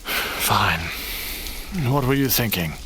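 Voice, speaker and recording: A man's voice: lowish voice, lively intonation, slow, background noise. An actor playing a skeptical, commanding nobleman in an audio drama, speaking in a terse, formal, unimpressed way.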